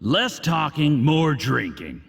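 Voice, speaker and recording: A man voicing a smug, jovial, smooth-talking villainous schemer in a video game. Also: mid-range voice, big-room sound, heavily acted. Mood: jovial, goading. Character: smug, jovial, scheming male villain envoy pouring drinks.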